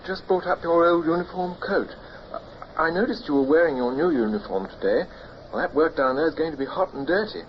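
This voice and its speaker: A man's voice: on the high side, expressive, a little fast, natural voice. An actor playing an eager, nervous teenage midshipman, stammering and deferential, in period radio drama style. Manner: stammering, apologetic. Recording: dull old radio recording with hiss.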